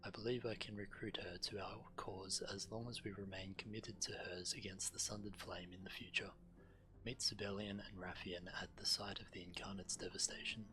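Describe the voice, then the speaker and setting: A man's voice: sits low, flat delivery, moderate pace, poor audio. A man who streams games, reading quest text aloud.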